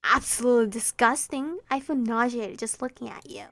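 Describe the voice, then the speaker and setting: A woman's voice: fairly high pitch, normal speed, a bit bright, no echo, faint music behind. A woman doing a prim, scolding teen girl. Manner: curt, exasperated, scolding.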